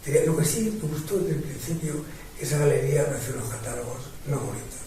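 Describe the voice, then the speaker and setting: A man's voice: fairly high pitch, slight background noise. Reminiscing older man, echoey and distant. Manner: reminiscing, unhurried, warm laughs. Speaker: guest painter. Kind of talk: relaxed conversational interview. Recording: distant mic with heavy echo.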